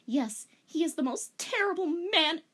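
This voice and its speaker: A woman's voice: very high voice, lively intonation, a little slow, fairly bright tone, pitched above the performer's own voice, exaggerated voice. A woman voicing an earnest, formal young half-demon anime heroine in a theatrical, sugary polite way.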